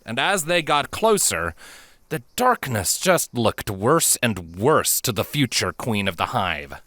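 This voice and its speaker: A man reading scripted fiction as an audiobook narrator, expressive and measured in a dramatic storytelling style. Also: medium pitch, dry recording, studio quality.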